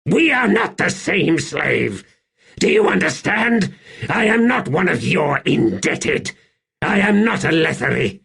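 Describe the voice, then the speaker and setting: Man acting a high-strung, imperious young emperor. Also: very animated, gravelly, a bit bright, slight background noise, heavily acted. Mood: indignant rage. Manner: halting, strained, swinging between command and fragility. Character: young undead emperor, imperious, unstable, weary and fearful. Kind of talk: audiobook character acting.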